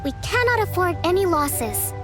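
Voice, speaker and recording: A young female game commander with a high voice. She is formal, measured and caring, speaking over clearly audible music. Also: sing-song. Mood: firm. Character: composed, caring young female resistance leader. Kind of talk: video game cutscene acting.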